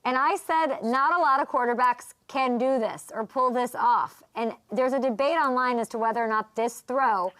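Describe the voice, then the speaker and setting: A woman's voice: fairly high pitch, moderate pace, hardly any pauses, a bit bright, no echo. A woman hosting a casual sports talk show, chatty and teasing as she interviews a guest. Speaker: host.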